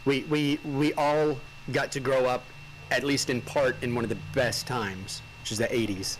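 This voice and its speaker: A man in his forties hosting a casual chat, answering with quick, easygoing affirmations. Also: mid-range voice, steady tone, a little hiss or hum, rough recording. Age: 40s. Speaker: host.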